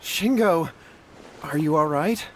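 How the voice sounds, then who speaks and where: An actor playing a worried, apologetic young brother in a video game, polite and contrite. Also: male voice, on the high side, animated, slow, a little hiss or hum. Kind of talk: English video game voice acting.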